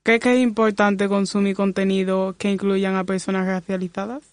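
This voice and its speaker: A young woman moderating a podcast panel, reading questions in a steady way. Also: mid-range voice, moderate pace, no echo. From Spain.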